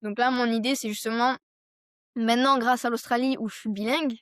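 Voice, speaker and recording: Young woman, higher-pitched, close dry sound. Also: steady tone, a little fast, no room sound. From France. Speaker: podcast guest, entrepreneur. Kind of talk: casual conversational interview answers.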